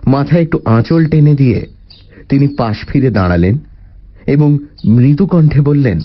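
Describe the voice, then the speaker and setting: A man narrating a story in the first person, with an expressive, deliberate dramatic delivery. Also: medium pitch, muffled recording. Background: faint music.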